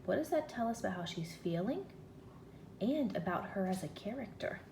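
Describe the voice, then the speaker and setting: A woman teacher reading a children's story aloud in an expressive, deliberate, questioning way. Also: medium pitch, gravelly. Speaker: first-grade teacher. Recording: echoey room with faint noise.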